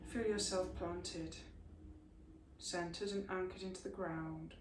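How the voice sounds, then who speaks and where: A woman teaching a yoga class, giving slow, soothing guidance through stretches and a guided relaxation. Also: fairly low pitch, fairly even intonation. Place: room, recorded at a distance from the camera mic. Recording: echoey room, mic at a distance, faint hiss.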